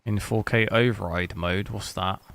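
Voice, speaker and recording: A man streaming a game, chatting casually with rambling, halting restarts. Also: fairly low pitch, fairly even intonation, vocal fry, fairly bright tone, dry recording. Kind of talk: casual live stream chat.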